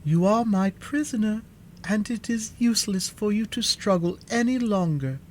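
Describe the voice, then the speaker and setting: A man voicing a calm, commanding sorceress with a soft, sweet, deliberate voice in a storybook reading. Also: high voice, a little hiss or hum, voice pushed up. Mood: firm, triumphant.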